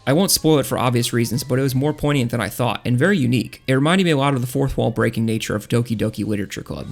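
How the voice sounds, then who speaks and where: A man's voice: medium pitch, very fast, creaky, faint music behind. A man narrating a scripted video game review in a casual, blunt, opinionated way. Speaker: video essayist reviewing video games.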